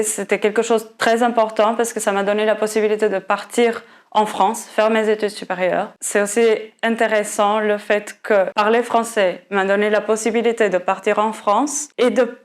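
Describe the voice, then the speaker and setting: A woman in her twenties from Portugal with a Portuguese accent, answering interview questions in French with many pauses and restarts. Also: mid-range voice. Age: 20s. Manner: hesitant, many restarts and fillers.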